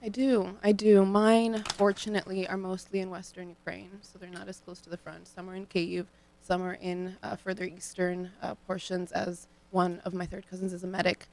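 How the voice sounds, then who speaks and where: Gravelly low-voiced young woman. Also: level tone, normal speed, a little mellow, rough recording. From Cleveland, Ohio, United States. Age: young adult. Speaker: student guest. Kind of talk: radio interview answers. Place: radio studio. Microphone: studio microphone.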